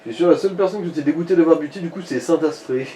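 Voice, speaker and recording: A man's voice: on the high side, rapid-fire, mellow. A man in casual gaming chat, talking in a crude, slangy and sardonic way. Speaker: video game commentator. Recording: noticeable background noise. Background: background noise.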